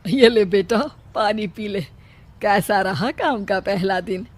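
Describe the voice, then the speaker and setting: A woman's voice: mid-range voice, slow pace. A woman voicing an anxious, caring older widowed mother-in-law in a village cartoon story. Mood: concerned. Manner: worried, maternal.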